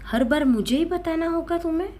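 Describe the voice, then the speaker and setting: A woman's voice: extremely high pitch, brisk, warm tone, voice pushed up. A woman voicing a stern older mother-in-law in a dramatised story, nagging with accusing, scornful questions. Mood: scolding.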